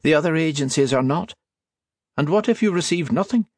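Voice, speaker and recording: Guy doing a nervy nasal priest, light french accent, kinda husky underneath, pleading a lot. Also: fairly high pitch, animated, a little fast, dry recording, clean studio sound, pitched above the performer's own voice. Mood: nervous bargaining. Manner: pleading, nervous. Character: anxious nasal male priest archivist.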